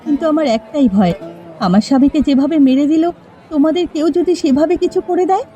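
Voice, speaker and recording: A woman's voice: high voice, expressive, quick pace, mellow, dull audio, faint music behind. A woman playing an earnest, forgiving village widow in a folk-tale cartoon. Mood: sorrowful. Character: grieving but proud, forgiving village widow.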